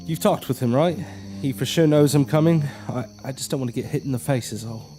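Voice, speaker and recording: Actor as a gruff, casual younger brother, music behind. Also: male voice, mid-range voice, brisk, warm tone, close to an everyday voice. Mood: wry, nervous. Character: blunt, grudging, easily frustrated little brother. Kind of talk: naturalistic audio drama acting.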